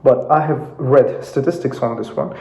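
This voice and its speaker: A man from Russia whose first language is Russian, talking casually from his home office without a proper microphone, pausing often with fillers and restarts. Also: mid-range voice, a little mellow. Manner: hesitant, many fillers and restarts. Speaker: YouTube commentator. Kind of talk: casual talk to camera. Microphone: no dedicated microphone. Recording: roomy, dull lo-fi sound.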